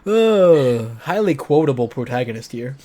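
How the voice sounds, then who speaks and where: A man's voice: medium pitch, big pitch swings, measured pace, mellow. A man reading a visual novel aloud as a streamer, switching between dramatic narration and casual, joking commentary. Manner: playful, joking asides.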